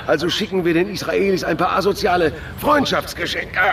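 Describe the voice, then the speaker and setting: High-pitched, nervous police chief, off-mic. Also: male voice, fairly quick, vocal fry, a bit bright. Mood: explaining, uneasy. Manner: defensive, stammering under pressure. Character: nervous, cornered male Moscow police chief. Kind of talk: noir radio drama acting. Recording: distant mic, noisy outdoor street.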